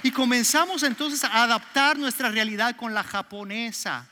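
Salvadoran man, middle-aged pastor, high and very bright, joking storyteller in a reverberant church hall. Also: normal speed, slight background noise. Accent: Salvadoran Spanish. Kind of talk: sermon.

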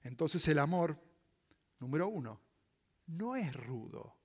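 A male preacher with a Latin American Spanish accent delivers his sermon slowly in a high voice. His pitch moves widely as he goes from forceful loud stresses down to hushed phrases. He is deliberate and repeats his main points for emphasis. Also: big pitch swings. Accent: Latin American Spanish with voseo. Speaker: pastor preaching.

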